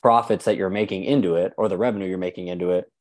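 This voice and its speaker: A man's voice: low-pitched, fairly even intonation, quick pace, a little mellow. A man hosting a podcast, chatting in an easygoing way with enthusiastic reactions. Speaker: podcast host. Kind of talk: casual interview chat.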